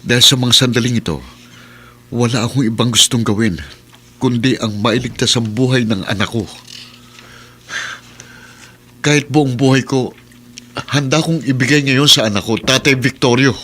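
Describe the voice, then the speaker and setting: A man's voice: medium pitch, fairly even intonation, moderate pace, fairly bright tone, slight background noise, lightly acted. A man playing a devoted, heartbroken young father in a melodramatic Filipino radio drama, earnest and emotional. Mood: determined, emotional.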